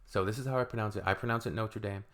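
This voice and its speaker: A man's voice: on the low side, steady tone, very fast, fairly bright tone. A man, hosting a reaction video in a loose, rambling way with shrugging asides. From Canada. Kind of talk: casual reaction commentary.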